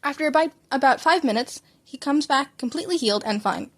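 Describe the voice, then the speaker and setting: A woman reads as a keen, rambling doctor in an amateur storybook narration. The voice is fairly high, explanatory and a little shy. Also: lively intonation, a little fast. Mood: explaining eagerly.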